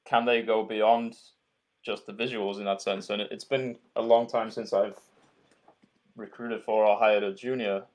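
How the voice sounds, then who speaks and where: A man with a Yorkshire accent, a guest speaking frankly and relaxed in a casual interview. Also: fairly low pitch, normal speed. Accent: Yorkshire English. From Yorkshire, England.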